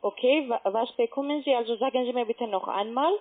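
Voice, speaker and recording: A woman with a foreign accent speaks in a fairly high voice over a tinny, muffled phone line. She gives formal, repetitive instructions like a call-centre agent, in a halting non-native way. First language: not German.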